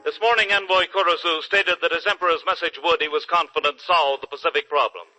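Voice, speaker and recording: A 1940s radio newsreader. The voice is extremely bright and forceful, a touch high, with earnest punch, and faint music runs underneath. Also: male voice, fairly even intonation, fast, rough recording. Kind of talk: 1940s news commentary within radio drama.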